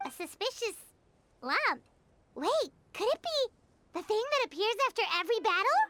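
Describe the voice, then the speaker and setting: A woman voicing a small, excitable, childlike fairy companion with a squeaky voice in a video game. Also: moderate pace, no room sound, full character voice. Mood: puzzled. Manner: exclamatory, speaks of herself in third person. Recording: loud music behind the voice.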